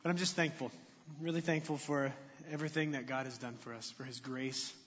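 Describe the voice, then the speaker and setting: A man preaching a sermon in a relaxed, conversational way, joking and asking the congregation questions. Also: medium pitch, brisk. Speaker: pastor. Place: church sanctuary. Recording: muffled reverberant sanctuary recording.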